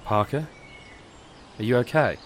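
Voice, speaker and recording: An actor playing a guilt-ridden scientist, speaking haltingly and gravely in a science fiction audio drama. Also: male voice, mid-range voice, slight background noise, lightly acted. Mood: concerned. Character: guilt-ridden male scientist confessing a secret. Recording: music under the dialogue.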